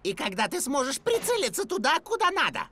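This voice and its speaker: A woman voicing a small, fussy male magic creature in a dubbed cartoon, bossy and quick to outbursts. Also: high voice, a little hiss or hum, full character voice. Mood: encouraging. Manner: bossy, coaching, excitable outbursts. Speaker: dubbing actor. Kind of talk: cartoon dub acting. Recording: music bed under dialogue.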